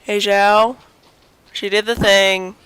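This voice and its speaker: A woman's voice: medium pitch, little pitch movement, takes it slowly, a bit bright, clear, resonant tone, poor audio. A woman streaming a game with casual commentary, muttering asides to herself and to the game. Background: game music.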